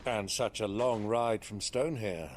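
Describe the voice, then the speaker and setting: An actor playing a jovial, theatrical middle-aged noble uncle in a video game, grandiose and wry. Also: male voice, lowish voice, unhurried, lightly acted. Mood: wry, playful.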